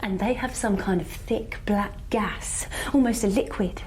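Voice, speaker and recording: An actress playing a wounded, weary scientist in an audio drama, speaking in a guarded, wry, understated way. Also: female voice, medium pitch, measured pace, a little mellow, slight background noise, lightly acted. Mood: grim, haunted. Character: wounded, weary woman astrophysicist surviving an alien invasion.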